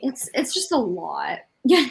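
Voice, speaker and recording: Woman, fairly high voice, casual book talk, exasperated, self-deprecating. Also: very animated, unhurried, vocal fry, noisy background. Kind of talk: casual chat about books.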